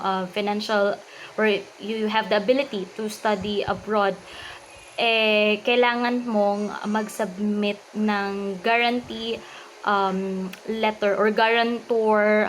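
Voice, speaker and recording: A young woman with a Filipino accent explains things to her viewers at an unhurried pace, filling pauses with um and you know. She sits close to the camera in a small room, with a faint hiss behind her. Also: medium pitch, rough recording. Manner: mixes Tagalog and English, many ums, addresses viewers as guys. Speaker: vlogger. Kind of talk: casual explainer talking to camera. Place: small room indoors, seated on a couch.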